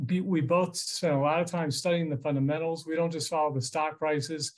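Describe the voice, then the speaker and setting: A man's voice: fairly high pitch, steady tone, a little fast. An American man in his fifties giving informal, explanatory commentary as a financial expert on a video call from home. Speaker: financial journalist interviewed as expert. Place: small furnished room at home.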